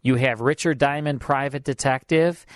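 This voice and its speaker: A man's voice: mid-range voice, fairly even intonation, moderate pace, no echo. A man hosting a radio show, chatting casually with his co-host and reading promos, with plenty of fillers, in a studio. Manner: chatty, repeats himself, fillers. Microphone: studio mic.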